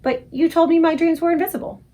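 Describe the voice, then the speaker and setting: A woman's voice: very high voice, steady tone, quick pace, dark tone, pitched above the performer's own voice. A woman voicing a curious, earnest young girl. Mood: eager.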